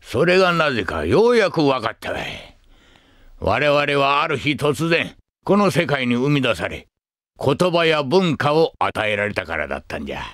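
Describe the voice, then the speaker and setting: An actor playing a wise, accepting old man in an anime-style game, with folksy, deliberate elderly speech. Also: medium pitch, big pitch swings, a bit bright, dry recording, clean studio sound. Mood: reflective, resigned. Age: older. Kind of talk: anime video game voice acting.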